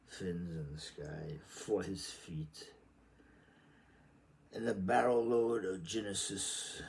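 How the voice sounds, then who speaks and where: A man's voice: sits low, creaky, darkish tone, slight background noise, rough recording. A man doing an informal read-aloud of a literary novel, declamatory when reading and muttering rambling asides to himself.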